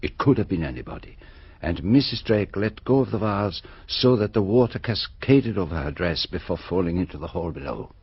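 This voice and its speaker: A self-assured Belgian detective in a radio drama. His voice is low and breathy, with a Belgian French accent and deliberate phrasing. The recording is dry and somewhat dull. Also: animated, no room sound, full character voice. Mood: assured explaining. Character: assured, methodical Belgian male detective explaining the crime.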